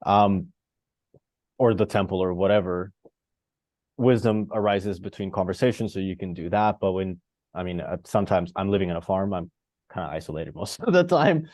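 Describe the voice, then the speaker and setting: A man's voice: sits low, moderate pace, darkish tone, dry recording. A man from Costa Rica speaking in an online discussion. He thinks aloud and wanders, with lots of fillers and restarts. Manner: rambling and searching, many fillers and restarts. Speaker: participant. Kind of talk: reflective discussion on a video call. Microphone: video call.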